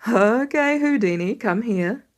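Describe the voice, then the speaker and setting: A woman voicing a patient, protective father with a sympathetic, gently teasing manner in a narrated story. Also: fairly high pitch, lively intonation, a little slow.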